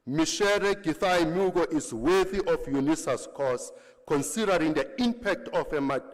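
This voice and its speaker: A man reading a formal honorary degree citation at a graduation, halting and restarting over names. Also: fairly high pitch. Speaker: ceremony presenter reading a citation. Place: large university great hall. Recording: long reverb of a large hall.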